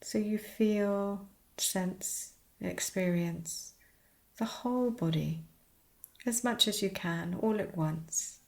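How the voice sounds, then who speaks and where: A woman teaching a guided meditation, speaking soothingly in short, unhurried phrases with pauses. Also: medium pitch, vocal fry.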